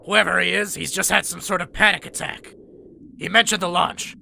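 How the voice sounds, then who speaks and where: Man voicing a very gravelly, sharp robot medic. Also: high-pitched, fairly even intonation, normal speed, slight background noise, full character voice. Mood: reluctant, resigned. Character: level-headed, gruff male robot medic. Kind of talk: motion comic character acting.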